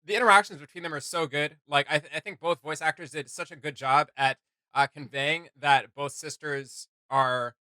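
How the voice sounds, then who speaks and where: A man's voice: a little high, steady tone, normal speed, pushed and bright, clear, resonant tone, no echo. A man giving casual reaction commentary as a video host, searching for his words as he goes.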